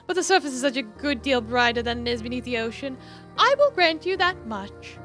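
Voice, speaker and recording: An elegant mermaid from a fairytale game, played by a woman, speaking in stately, formal phrases. Her voice is high and bright, with an earnest, sincere temper, over soft music. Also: big pitch swings, fairly quick. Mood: playful.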